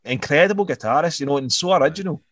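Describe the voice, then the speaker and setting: A man's voice: on the high side, racing, a crowd in the background. A man, casually talking through an album as a podcast co-host, rambling and swearing, repeating words as he goes.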